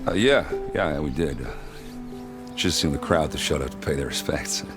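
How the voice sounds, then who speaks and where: A world-weary game warrior in an extremely deep voice, with music clearly behind it. He is gruff and laid-back, talking with wry humour and hesitant starts. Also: normal speed. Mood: wistful, fond. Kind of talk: video game character acting.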